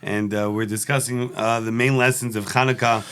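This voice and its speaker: Male program host, mid-pitch, formal welcoming tone. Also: fairly even intonation, moderate pace, a bit bright, clean tone. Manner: welcoming, formal introductions with Hebrew greetings. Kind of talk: program interview.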